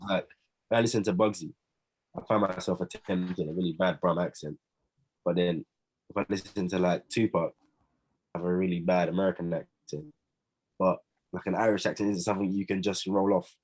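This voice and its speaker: Young man, very deep voice, hesitant, podcast co-host. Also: steady tone, fairly quick, pauses often, a little mellow, low-quality recording. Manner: hesitant, lots of ums and likes. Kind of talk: casual chat.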